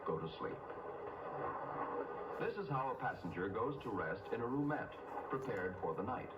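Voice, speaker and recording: A man's voice: fairly low pitch, animated, muffled recording. Noisy 1950s American educational film narrator, male. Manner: clear, measured storytelling for children. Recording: old 1950s film soundtrack, noisy, echoey, distant.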